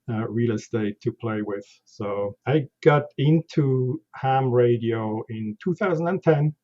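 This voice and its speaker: A man's voice: mid-range voice, a little mellow, lo-fi sound. A man from Switzerland with a Swiss German accent, a guest chatting casually about his background in an interview. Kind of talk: conversational interview.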